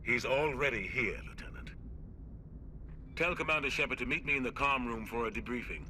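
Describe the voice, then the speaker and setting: A man's voice: mid-range voice, fairly quick, bright, forceful tone, a little hiss or hum. An actor playing a stern, curt and commanding male warship captain in a video game. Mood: curt, irritated. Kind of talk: video game cutscene acting.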